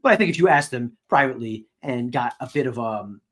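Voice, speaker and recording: A man's voice: medium pitch, animated, brisk, fairly bright tone. A man co-hosting a sports podcast, discussing games in a casual, conversational way like a reporter.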